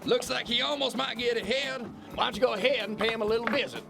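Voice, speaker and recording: High, gravelly bullying kingpin boss. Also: male voice, steady tone, fast, music in the background. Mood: condescending, gloating. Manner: blustering, condescending, mocking. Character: bullying, corrupt alpha-male kingpin boss, bombastic and scornful. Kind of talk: theatrical radio-play acting.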